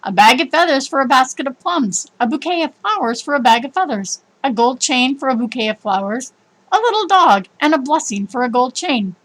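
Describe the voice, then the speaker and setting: A kindly old woman from a storybook, read by a woman narrator. The voice is fairly high, with a gentle, cheerful and generous way of speaking. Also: animated, a little fast, rough recording. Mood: content.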